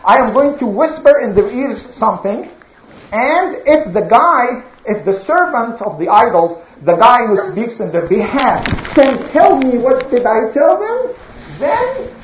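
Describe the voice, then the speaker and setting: A woman's voice: fairly high pitch, big pitch swings, a little slow. Woman acting a skeptical young boy, echoey room. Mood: bold, challenging. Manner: questioning, challenging. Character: curious, skeptical young boy prophet, bold and questioning. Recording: echoey room, dull sound, faint noise.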